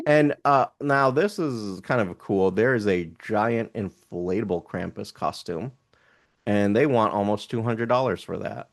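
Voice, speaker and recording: Lower-voiced man rambling and joking. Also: measured pace, vocal fry, no echo, clean studio sound. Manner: rambling, joking, reads prices aloud. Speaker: podcast co-host. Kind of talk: casual chat while browsing online shops.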